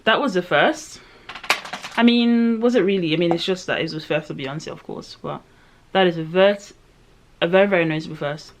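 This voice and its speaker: A woman's voice: moderate pace, slight background noise. Chatty woman in her late twenties. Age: late 20s. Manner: chatty, rambling, heavy use of fillers like "um" and "like"; reflective, with sudden excited jumps in pitch. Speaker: vlogger. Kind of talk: casual chat to camera while doing makeup. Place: at home in front of her own camera.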